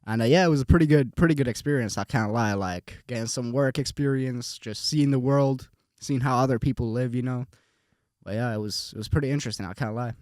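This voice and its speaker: A young man from Finland, a podcast guest, speaks at a mid pitch in a laid-back, easygoing way. He often repeats words as he searches for what to say. The recording is close and dry. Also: fairly quick, a little mellow, no echo. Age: young adult. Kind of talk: casual conversation.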